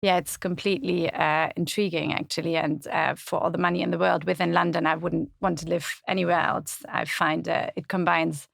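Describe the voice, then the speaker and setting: A woman with a German accent speaks in a low, gravelly voice, talking through her thoughts with many ums and restarts. The studio sound is close and dry, with no room echo. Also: level tone, brisk, few pauses. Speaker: podcast guest, community website founder. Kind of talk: casual interview chat. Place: podcast studio.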